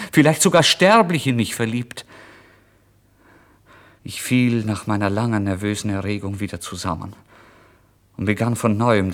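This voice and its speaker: Low-voiced, feverish, destitute man. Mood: agitated, feverish. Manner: dramatic, swinging from hushed brooding to indignant outbursts. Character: starving, proud, feverishly agitated down-and-out man. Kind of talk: literary first-person radio monologue.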